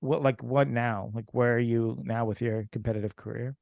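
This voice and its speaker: A man from Ukraine hosting an interview podcast, asking questions in a halting way with many restarts. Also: mid-range voice, fairly even intonation, creaky, no room sound, highs cut off. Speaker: podcast host. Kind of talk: casual interview.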